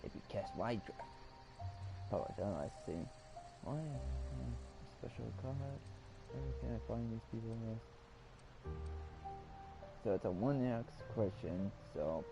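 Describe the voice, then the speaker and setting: Man, low voice, rambling let's-play chatter, mumbles to himself, game music loud behind. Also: animated, slow, creaky, long pauses. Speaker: gamer. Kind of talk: casual let's-play commentary.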